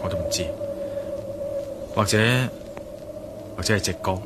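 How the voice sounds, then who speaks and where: An actor playing an inquisitive, bold male adventurer in a radio drama, with a Hong Kong Cantonese accent and a deliberate, pondering manner. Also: low voice, flat delivery, background noise. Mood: pondering, determined. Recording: radio drama with clear music behind.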